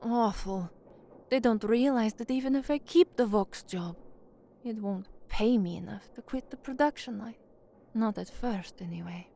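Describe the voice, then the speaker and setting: A woman's voice: a little high, a little slow, gravelly, mellow. An actress playing an anxious young working-class woman and trainee newsreader in an audio drama. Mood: fretful. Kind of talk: audio drama acting with first-person narration.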